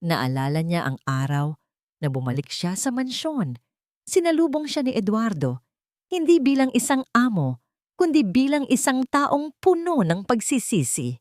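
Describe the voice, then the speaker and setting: A woman's voice: a little high, big pitch swings, unhurried, darkish tone, no echo. A woman reading a scripted, dramatic audio story as its storyteller.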